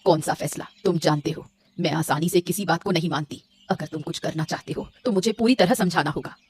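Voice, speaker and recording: A woman's voice: low voice, a little fast, darkish tone, slightly rough. A woman voicing a stern, disapproving mother-in-law in a Hindi cartoon, speaking firmly and reproachfully. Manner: firm, reproachful. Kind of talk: Hindi moral-story cartoon voice acting. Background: music.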